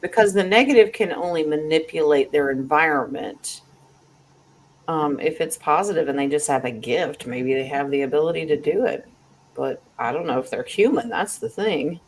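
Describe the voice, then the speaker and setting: Female roundtable co-host, quite low voice, casual and explanatory, gently corrects, slight noise in the recording. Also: normal speed. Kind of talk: casual spiritual discussion.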